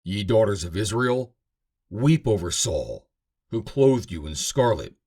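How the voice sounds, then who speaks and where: A man's voice: on the low side, very animated, a little slow, a little mellow. A man giving a solemn, theatrical, emphatic reading of scripture as a narrator. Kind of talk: dramatic scripted reading of King James Bible.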